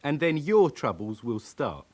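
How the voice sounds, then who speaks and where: An actor playing a cocky, defiant young criminal with a London accent and slangy, aggrieved manner in a radio crime drama. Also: male voice, mid-range voice, sing-song, unhurried, darkish tone. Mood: menacing, sneering.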